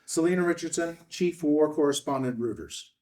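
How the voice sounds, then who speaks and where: A man's voice: a little high, moderate pace, darkish tone. Male screenplay narrator, slightly distant mic. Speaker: narrator reading stage directions.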